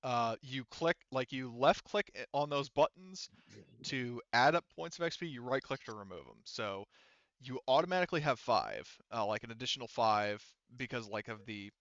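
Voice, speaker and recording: A man acts as game master in casual, animated table talk. His voice is smooth and clear at a middle pitch. The recording is close and dry but a little dull. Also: moderate pace, no echo.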